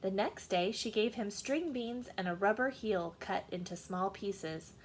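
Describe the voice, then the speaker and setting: A woman reading a children's story aloud in an animated storytelling style. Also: mid-range voice, measured pace, slight background noise.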